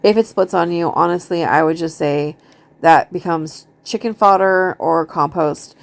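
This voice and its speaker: A woman speaks in a somewhat low-pitched voice. She has the informal, wandering delivery of a hobby gardening vlogger, conversational and unpolished as she chats to viewers. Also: unhurried, vocal fry. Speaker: gardening vlogger showing a seed haul. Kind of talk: casual chat, reading out seed packet notes.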